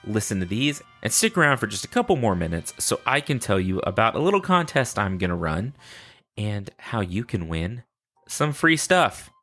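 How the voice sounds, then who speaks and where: A man's voice: lowish voice, big pitch swings, moderate pace, pushed and bright, faint music behind. A man hosting a casual solo podcast, chatting informally and playfully. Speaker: podcast host.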